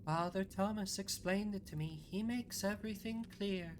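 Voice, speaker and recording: A performer voicing a devout visionary nun, a gentle, bewildered old woman who speaks in a solemn, reverent, measured way over music. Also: mid-range voice, noisy background, natural voice. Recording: music under the voice.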